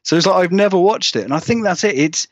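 A man's voice: sits high, lively intonation, fast talker, no room sound, dull audio. A British man chatting casually as an interview guest, rambling and acting out remarks as he goes. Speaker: guest horror writer.

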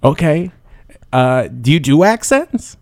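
A man's voice: fairly high pitch, sing-song, slow, no echo, studio quality, exaggerated voice. A man playing a crude, rambling teenage boy who provokes the others in an improvised comedy sketch. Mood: creepy.

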